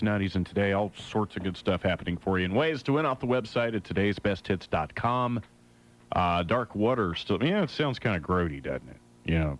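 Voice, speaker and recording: A man hosting a music radio show, delivering upbeat, joking DJ patter into a close studio mic. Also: on the low side. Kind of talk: hot adult contemporary radio DJ patter over music. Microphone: close broadcast studio mic. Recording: loud music bed behind the voice.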